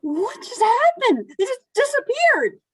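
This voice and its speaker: A woman's voice: high-pitched, measured pace, no echo. A woman voicing a bewildered, confused woman. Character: bewildered woman named Susan.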